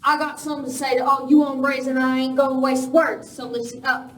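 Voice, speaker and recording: A woman's voice: high voice, level tone, brisk, close to an everyday voice. A woman playing a tough, ruthless boss of Western outlaws in a radio play, commanding and curt. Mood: angry, threatening. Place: live performance in a village venue. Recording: echoey hall, distant mic, faint noise.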